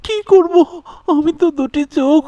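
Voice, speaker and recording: An actor voicing a distraught young village son, devout and quick to anger, stammering and repeating words in a melodramatic cartoon style. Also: high voice, dark tone, distant mic, lightly acted. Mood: anguished, pleading.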